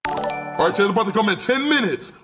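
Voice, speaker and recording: A nineteen-year-old man streaming live from a small room on a headset mic, excitable and rambling, swearing freely. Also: sits high, fast, music in the background. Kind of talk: casual live stream chat.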